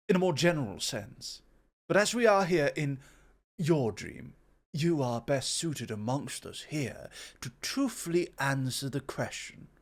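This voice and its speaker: A man acts as an immortal god of wondrous innovation in an ASMR roleplay. The voice is medium in pitch and very unhurried. The delivery is ornate and proud, with a gently teasing, courtly air. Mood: earnest.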